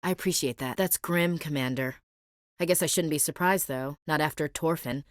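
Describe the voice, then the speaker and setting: A woman's voice: low voice, moderate pace, dry recording, clean studio sound, close to an everyday voice. An actress playing a tough, blunt young female marine in a video game, speaking in a direct, clipped soldierly manner. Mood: steady. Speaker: game character.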